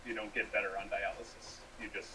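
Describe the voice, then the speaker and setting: A man's voice: on the low side, little pitch movement, a little fast. A middle-aged or older man giving a personal testimonial for a video appeal. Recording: distant mic, faint noise, played-back video.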